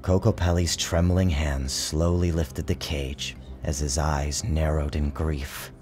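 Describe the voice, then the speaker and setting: A man narrating his own story as a dramatic storybook reading, in a theatrical, measured and expressive manner. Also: extremely low pitch. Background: music.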